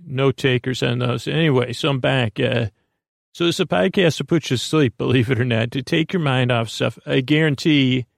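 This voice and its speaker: Man, gravelly creak, dry close sound, rambling sleep-podcast host, halting, self-interrupting. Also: medium pitch, dry recording.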